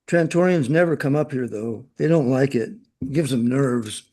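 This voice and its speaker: A man's voice: medium pitch, normal speed, mellow, natural voice. A man acting in a radio drama as a chatty, knowing, worldly man with a breezy, opinionated way of talking.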